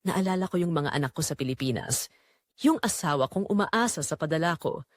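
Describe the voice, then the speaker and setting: A woman's voice: low-pitched, animated, brisk, no room sound, clean studio sound. A woman with a Filipino accent playing a weary, anguished migrant-worker mother, telling her story in an emotive, confiding audio drama narration.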